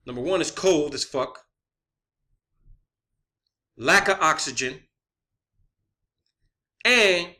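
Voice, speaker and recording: Male online presenter, slightly high pitch, bright forceful tone, emphatic unscripted ranting with frequent swearing and rhetorical questions. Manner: emphatic, insistent, swears often. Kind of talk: unscripted conspiracy theory monologue.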